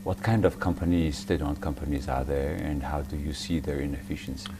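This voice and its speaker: A man hosting an interview, asking long questions in halting, hesitant phrasing. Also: extremely low pitch, steady tone, noisy background. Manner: halting, hesitant phrasing, frequent back-channel murmurs. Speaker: interviewer host.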